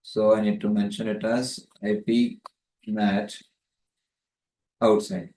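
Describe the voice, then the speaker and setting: A man's voice: fairly low pitch, little pitch movement, takes it slowly, mellow. A man giving a methodical, step-by-step tutorial narration as a networking tutor, recorded with roomy echo on a distant microphone. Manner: methodical, explanatory.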